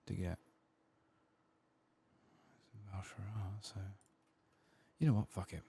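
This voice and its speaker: A soft-spoken man murmuring ASMR commentary over a video game playthrough, musing to himself and sometimes dropping toward a whisper. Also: sits low, steady tone, takes it slowly, gravelly, slight background noise.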